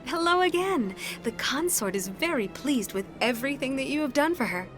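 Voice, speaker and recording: An actress playing a courteous, poised but firm alien secretary in a video game, speaking politely and formally. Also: female voice, sits high, expressive, normal speed. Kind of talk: video game character dialogue. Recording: music playing behind the voice.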